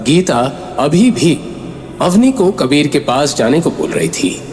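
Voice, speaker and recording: A man's voice: on the high side, very animated, unhurried, a little mellow, a little hiss or hum. A man narrating a scripted audio drama story in a dramatic storytelling style.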